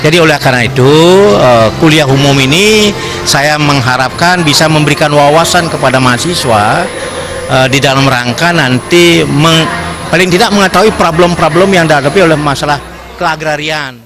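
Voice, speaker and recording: A man's voice: a little high, moderate pace, over music. An older man giving an informal interview answer as a university rector. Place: auditorium. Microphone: handheld interview mic.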